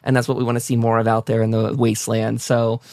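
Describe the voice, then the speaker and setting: A man's voice: mid-range voice, monotone, fast, darkish tone, no room sound, studio quality. A man hosting a casual talk show, speaking in an effusive, appreciative manner to guests and listeners. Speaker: host of a fan talk show. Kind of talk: casual interview and sign-off to listeners.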